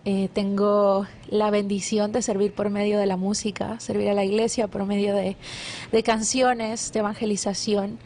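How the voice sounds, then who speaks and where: A woman's voice: mid-range voice, level tone, moderate pace, slight background noise. Guatemalan woman sharing faith testimony. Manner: warm devout storytelling with many fillers and restarts. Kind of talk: casual testimony interview.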